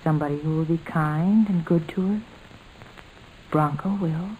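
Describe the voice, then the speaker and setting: Woman playing a gentle, low-voiced reassuring lady. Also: moderate pace, narrow, tinny audio, natural voice. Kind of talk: old-time radio sitcom acting. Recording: noisy vintage radio recording.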